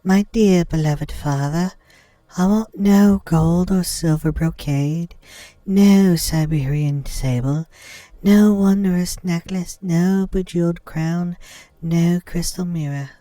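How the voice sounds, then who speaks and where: A man voicing a gentle, earnest young maiden, the youngest daughter in a fairy tale, pleading formally. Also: high-pitched, takes it slowly, no room sound, pitched above the performer's own voice. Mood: earnest yearning. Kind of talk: storybook character voice.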